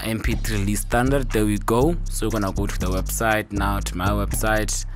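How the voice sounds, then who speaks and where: A man hosting a software tutorial in a casual, informal way, talking through each step with upbeat interjections. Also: lowish voice. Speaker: tutorial host. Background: music.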